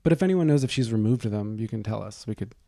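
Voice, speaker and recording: A man with a low-lit, mellow timbre and plenty of vocal fry, recorded close and dry. He banters casually as a podcast co-host, gossipy and always kidding around. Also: expressive, quick pace, dry recording. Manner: irreverent, gossipy, joking.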